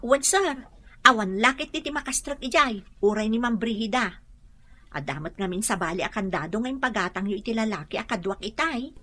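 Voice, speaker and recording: A young maid of a big house in an Ilocano radio drama, played by a woman. Her high, bright voice carries brisk, respectful replies. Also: animated. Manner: brisk and respectful, quick to answer. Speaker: household helper.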